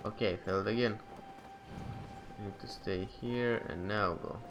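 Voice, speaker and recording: A man's voice: on the low side, measured pace, music in the background. A man giving casual gameplay commentary as he plays. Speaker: gamer.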